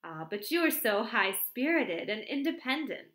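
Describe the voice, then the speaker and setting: Polite, gently ironic young wife, lilting tone; read by a woman. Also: on the high side, very animated, unhurried. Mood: mild. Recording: roomy echo.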